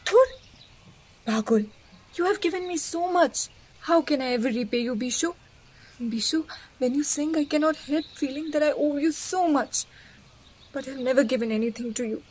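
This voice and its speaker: A woman's voice: sits high, normal speed, pauses often, a little mellow, lightly acted, low-quality recording. A woman playing a compassionate, bold young idealist in an audio play, with heartfelt and dramatic delivery. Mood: pained affection.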